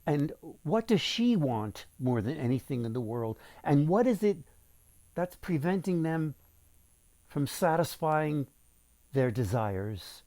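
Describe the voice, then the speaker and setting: A man reading a folktale aloud as its author, with deliberate, dramatic phrasing for young listeners. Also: a little high, very animated, darkish tone, no room sound.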